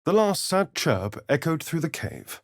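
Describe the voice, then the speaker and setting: A sly vampire rogue for a fantasy video game. His delivery is flamboyant and unhurried, with wide, lively swings of pitch. He enunciates precisely, with an arch, teasing edge and a slightly husky voice in a very dry recording. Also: dry recording. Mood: measured, grave storytelling. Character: sly, theatrical male vampire spawn rogue, centuries old. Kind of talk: video game character voice lines and narration.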